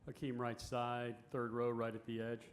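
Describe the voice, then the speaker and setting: A man moderating a press conference, briskly calling on reporters and asking questions. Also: mid-range voice, monotone, a little hiss or hum. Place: media day press room.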